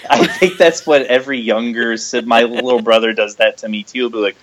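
A man's voice: medium pitch, fairly even intonation, a little fast, rough recording. A young man co-hosting a casual fan podcast, with a mid-range voice that sits in the middle of the male register. He jokes at his own expense and trades banter easily with his co-host. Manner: joking, self-deprecating.

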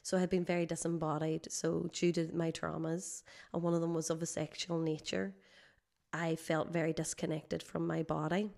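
A woman guest, a holistic healer, explaining her work conversationally in a measured, reflective way, slightly hoarse from a cold. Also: low voice, little pitch movement, a little mellow.

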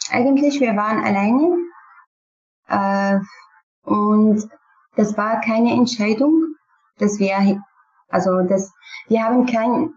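A woman's voice: mid-range voice, slow, long pauses, a little mellow, dull audio. A woman from Syria with a Kurdish accent and Kurdish as her first language, talking about herself as an interview guest in simple, careful sentences. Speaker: podcast guest. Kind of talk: personal interview talk.

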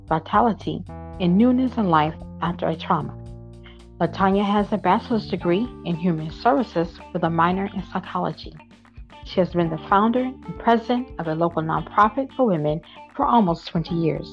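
A woman reading a scripted podcast intro in a slow, measured way. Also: lowish voice. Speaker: show announcer. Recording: dull lo-fi over guitar music.

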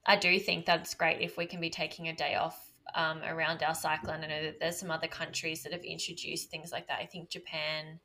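Low, bright-voiced woman guest. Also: level tone. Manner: measured, hedging, frequent ums and "sort of". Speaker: podcast guest, self-employed business owner. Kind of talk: casual conversational interview.

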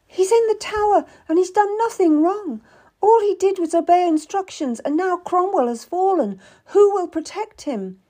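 A woman's voice: high-pitched, big pitch swings, normal speed, warm tone, breathy edge, pitched above the performer's own voice, heavily acted. A woman voicing an earnest Tudor servant woman, urgent and beseeching, in a dramatised audiobook. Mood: tearful, desperate.

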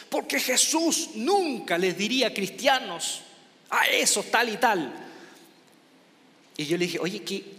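A man's voice: high-pitched, pushed and bright, in a large hall. A man from Chile with a Chilean accent, preaching an informal, conversational sermon with many restarts and a self-mocking tone. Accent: Chilean Spanish. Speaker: pastor.

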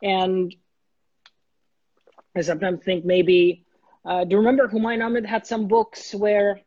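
A man's voice: high voice, a little slow, no echo. Man telling his story, dull dry sound. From Bangladesh. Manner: earnest, steady storytelling with many small restarts. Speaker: guest.

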